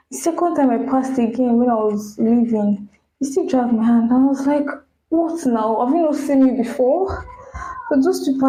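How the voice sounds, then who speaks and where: A soft-spoken Nigerian woman talks through her week on her own podcast, candid and chatty, her tone warm and mellow. Her voice sits in an echoey room. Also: a little high. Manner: chatty and candid, speeds up when telling stories. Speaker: podcast host. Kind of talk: casual solo podcast monologue. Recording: roomy echo.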